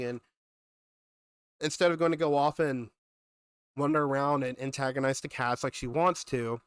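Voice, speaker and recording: A man's voice: medium pitch, a bit bright, clear, resonant tone, no room sound, clean studio sound. A young man with a cold, sounding congested, chatting casually with viewers as a gaming streamer and rambling on with asides. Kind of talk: casual live-stream chat.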